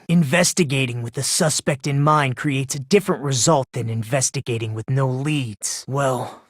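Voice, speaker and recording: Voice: mid-range voice, dry recording, studio quality, natural voice. An actor voicing a polite but unsettling teenage boy in a video game, self-deprecating with a smug, condescending streak. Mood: smug, teasing.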